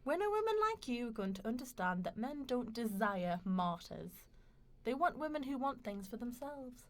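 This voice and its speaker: An actress as an ambitious young woman in a radio drama, the proud other woman. Her voice is high and swings widely in pitch. She argues with defiant passion and a cutting edge. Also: big pitch swings, normal speed. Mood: proud, scornful. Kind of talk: radio play dialogue.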